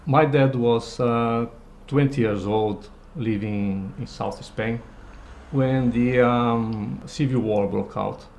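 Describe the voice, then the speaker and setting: A man in his sixties, telling a personal family story with hesitant pauses and fillers. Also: medium pitch, vocal fry, a little mellow, a little hiss or hum. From Brazil. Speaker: interviewee.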